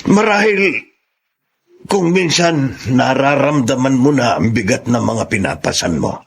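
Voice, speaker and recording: A man playing a sincere, tender older uncle in a radio drama, with a Filipino accent and a husky, creaky voice. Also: mid-range voice, expressive, measured pace, a little hiss or hum. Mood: earnest, moved.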